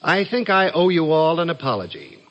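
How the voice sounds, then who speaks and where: An actor playing a kindly, dignified head of a heavenly department, speaking in solemn, emphatic oratory in 1950s radio drama style. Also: male voice, a little high, big pitch swings, moderate pace. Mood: solemn, earnest. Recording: dull, band-limited 1950s radio recording.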